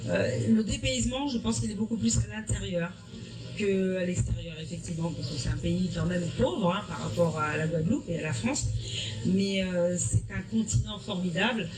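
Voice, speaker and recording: A woman's voice: on the low side, normal speed. A middle-aged woman from Africa, a teacher answering interview questions earnestly and often restarting her sentences. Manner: earnest, explanatory, with frequent restarts. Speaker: history-geography teacher. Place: evening school event. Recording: distant mic with noisy room.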